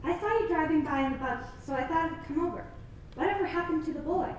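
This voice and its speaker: A woman's voice: high voice, fast talker, slight background noise, lightly acted. A woman playing a nosy, blunt older neighbor. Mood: huffy. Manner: tactless, opinionated and self-righteous. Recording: echoey, from a distance.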